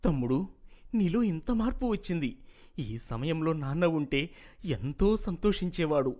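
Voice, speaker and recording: A man playing a responsible, affectionate elder brother who reasons and advises in an animated moral story. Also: a little high, a little slow. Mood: fond, advising.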